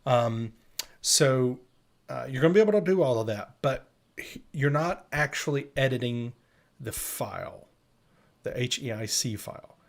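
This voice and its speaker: A man co-hosting a live show, explaining software updates in a casual, conversational way straight to the listeners. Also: mid-range voice, animated, a little slow, gravelly. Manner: conversational, addresses listeners directly. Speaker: co-host of a tech update show.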